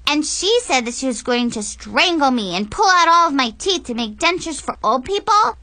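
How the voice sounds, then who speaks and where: A shrill, fierce little girl. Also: big pitch swings, moderate pace, bright, forceful tone, dry recording, exaggerated voice. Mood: chirpy. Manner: shrill, childish.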